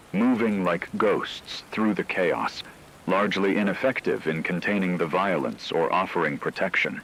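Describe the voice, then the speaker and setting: A man playing a solemn radio news correspondent, delivering measured, formal scripted reports on an apocalyptic disaster. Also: deep voice, fairly bright tone, slight background noise, poor audio. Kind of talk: scripted dramatic news narration.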